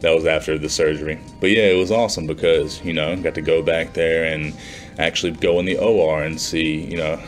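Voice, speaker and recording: A man in his twenties with a low-pitched voice talks in a chatty, informal way. He sounds like a student recording a casual vlog in a small room, with music softly present. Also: steady tone, brisk. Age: 20s. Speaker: nursing student vlogger. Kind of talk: casual vlog talk to camera. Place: small plain indoor room.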